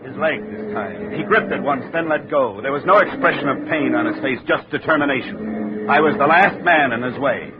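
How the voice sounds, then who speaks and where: A man's voice: medium pitch, expressive, normal speed, heavy background noise, natural voice. An actor in a 1950s radio drama playing a hard-boiled male insurance investigator who narrates in terse, clipped first person. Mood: grim. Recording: dull old radio recording with music.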